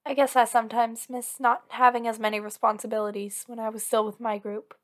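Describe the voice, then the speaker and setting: Woman acting a high-voiced, clingy villain girlfriend. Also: little pitch movement, a little fast, natural voice. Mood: soft, reassuring. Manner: intimate, earnest, pleading, insistent. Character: possessive, devoted female villain lover, anxious and clingy. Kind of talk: ASMR roleplay acting, one-sided dialogue.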